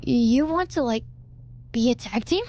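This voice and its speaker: An impulsive young woman wrestler in a video game story, with a high voice. She talks casually and earnestly, full of expression. The sound is slightly dull. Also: expressive, unhurried, a little mellow. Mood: excited. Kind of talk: casual game cutscene acting.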